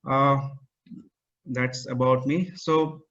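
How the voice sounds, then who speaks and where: A man giving an online meetup talk, pausing often with fillers and restarting his sentences. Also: mid-range voice, steady tone, a bit bright. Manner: hesitant, many fillers and restarts. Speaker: guest speaker. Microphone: video call. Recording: muffled call audio.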